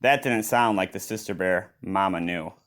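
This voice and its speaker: A man reads a children's storybook aloud in an expressive manner, adding his own exclaiming asides. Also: low-pitched, moderate pace. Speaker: storybook reader.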